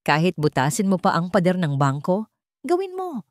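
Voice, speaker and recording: A woman's voice: lowish voice, wildly swooping pitch, moderate pace, darkish tone, no room sound, studio quality. A woman narrator voicing a commanding, insistent male CEO in a drama story. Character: commanding, determined male CEO seeking the truth.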